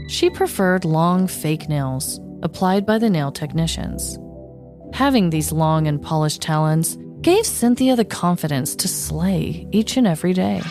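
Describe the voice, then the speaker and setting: A woman's voice: on the low side, a little mellow. A woman co-hosting a comedy podcast, moving between casual chat and scripted storytelling. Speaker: podcast co-host.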